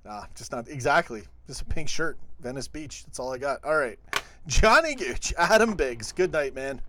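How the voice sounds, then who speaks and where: Brief: young male stream host, laid-back, chatty, mid-pitched voice. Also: lively intonation, moderate pace, a bit bright, a little hiss or hum. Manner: laid-back, laughs often, reads out chat names. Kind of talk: casual live stream chat.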